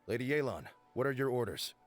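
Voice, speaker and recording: A man's voice: mid-range voice, expressive, fast talker, faint music behind, close to an everyday voice. A man playing a loyal, stoic henchman of a spymaster in a video game.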